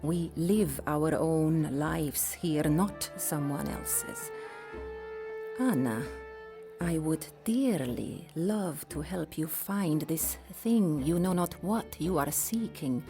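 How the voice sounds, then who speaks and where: An actress playing a gracious, playful Persian empress in an audio drama, with regal, wry, formal phrasing. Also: female voice, low-pitched, lively intonation, slow pace, exaggerated voice. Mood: amused, helpful. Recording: music under the voice.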